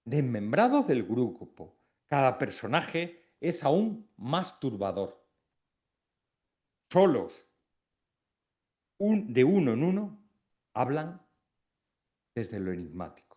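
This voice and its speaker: A middle-aged man from Extremadura giving a formal academic induction speech, reflective, with pauses between phrases. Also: medium pitch, sing-song, a little mellow. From Extremadura, Spain.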